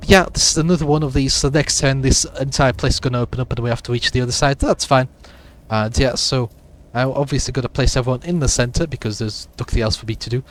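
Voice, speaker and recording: A man with a sick, raspy voice casually comments on his gameplay, rambling along with lots of fillers. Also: medium pitch. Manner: rambling, with many fillers and restarts. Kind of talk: casual let's-play commentary.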